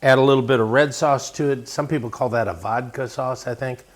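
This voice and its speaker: A man's voice: medium pitch, fairly even intonation, a little fast. A man from Wisconsin with an American accent, casually chatting as he hosts a cooking show in a folksy, encouraging way. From Wisconsin, United States. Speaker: host of a lifestyle and cooking show. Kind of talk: casual cooking demonstration and chat.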